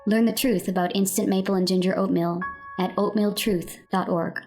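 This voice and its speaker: A woman's voice: medium pitch, steady tone, moderate pace, darkish tone, soft background music, natural voice. A woman playing a solemn announcer in a parody public-service ad, with a grave, dramatic delivery. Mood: solemn warning. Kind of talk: mock public-service ad narration.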